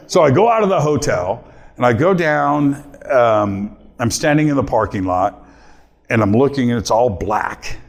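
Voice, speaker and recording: A man's voice: medium pitch, animated, unhurried. A middle-aged man, a radio host, telling a story to a live audience and acting out his own quotes. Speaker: radio host giving a talk.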